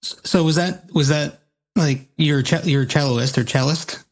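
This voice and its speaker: A man's voice: fairly high pitch, moderate pace. A man hosting a casual interview, asking questions hesitantly and rephrasing them as he goes. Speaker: podcast host.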